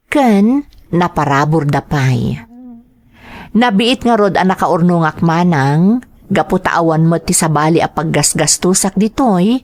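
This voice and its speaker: A woman's voice: fairly low pitch, darkish tone. A woman playing a devoted young daughter who works abroad for her family in a dramatized audio drama. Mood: reflective, narrating.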